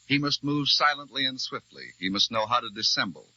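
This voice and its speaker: Bright, forceful 1940s American radio newsman. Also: mid-range voice, expressive, fairly quick, dry recording. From United States. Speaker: radio news correspondent. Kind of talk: 1940s radio news broadcast, scripted. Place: radio newsroom. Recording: dull vintage 1940s radio broadcast recording.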